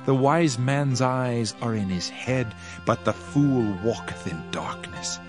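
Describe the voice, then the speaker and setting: A man narrates scripture in a solemn, declamatory style, with a medium-pitched voice. The delivery is a dramatized reading, set over a faint bed of music. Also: takes it slowly. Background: background music.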